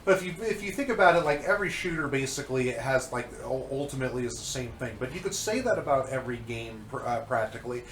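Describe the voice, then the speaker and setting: A man's voice: mid-range voice, expressive, quick pace, few pauses, a bit bright, roomy sound, a little hiss or hum. A congested man rambling casually about old games, with many fillers and restarts. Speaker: co-host reviewing old magazines. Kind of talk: casual chat.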